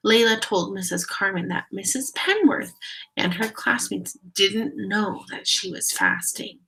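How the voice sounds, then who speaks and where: A woman's voice: mid-range voice, measured pace, gravelly, low-quality recording. A woman reading a picture book aloud expressively, talking directly to young listeners. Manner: expressive, addressing children directly. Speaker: read-aloud storyteller.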